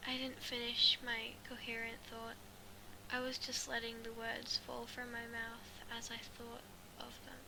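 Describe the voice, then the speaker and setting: A woman reading a story aloud as an amateur, halting and stumbling over her words. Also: a little high, monotone. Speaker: fan fiction reader. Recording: clearly noisy amateur recording.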